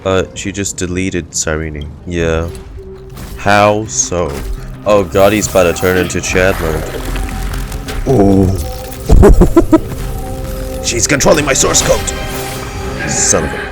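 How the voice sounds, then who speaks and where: A man's voice: low-pitched, slow, pauses often. A man doing casual, slangy, profane live reaction commentary as a video game streamer. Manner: slangy, expressive. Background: game music.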